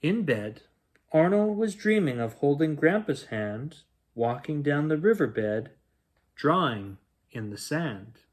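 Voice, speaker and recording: Guy reading a rhyming kids bedtime story, takes it really slow, voice kinda high and swoopy. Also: big pitch swings. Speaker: children's bedtime story reader. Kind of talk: read-aloud rhyming picture book storytelling.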